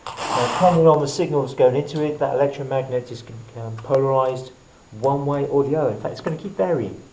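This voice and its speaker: A man presenting a hands-on science demonstration as a teacher, explaining to camera in short, deliberate phrases. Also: mid-range voice, darkish tone. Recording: clearly noisy recording with clicks.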